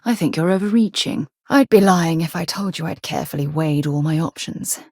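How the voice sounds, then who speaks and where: A woman voicing a principled, curious space explorer in a video game, husky and articulate, with a composed, earnest delivery. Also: low-pitched, fairly quick, a little mellow, no echo, studio quality. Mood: reflective. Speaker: game voice actor. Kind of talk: video game companion dialogue.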